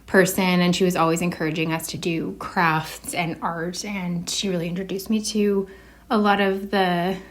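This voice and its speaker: A woman's voice: on the low side, level tone, normal speed. A woman with a Canadian accent giving casual, unscripted interview answers, a bit hesitant as she thinks aloud. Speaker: artist being interviewed.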